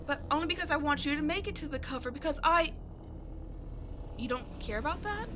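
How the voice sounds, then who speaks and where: A woman acting in a roleplay audio drama as a brash young tomboy archaeologist with a soft side, animated, slangy and teasing. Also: high-pitched, a little fast, slight background noise. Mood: exasperated.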